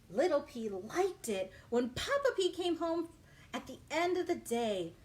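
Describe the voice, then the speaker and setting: Very animated, high-voiced woman reading to children. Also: slow, pauses often. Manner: animated, exaggerated, questioning the young listeners directly. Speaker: children's librarian. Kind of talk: children's read-aloud storytime. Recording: noisy livestream recording.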